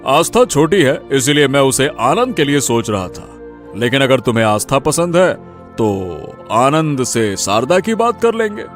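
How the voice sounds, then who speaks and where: A man voicing a calm middle-aged merchant father in a cartoon story, measured and deliberating. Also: medium pitch, faint music behind. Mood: calm, considerate. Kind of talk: dramatized Hindi cartoon story.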